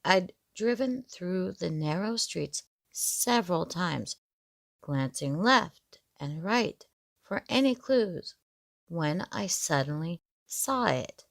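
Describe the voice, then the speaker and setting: A woman narrating a scripted story in the first person, in a measured, expressive storytelling manner. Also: lowish voice, gravelly, dry recording, studio quality.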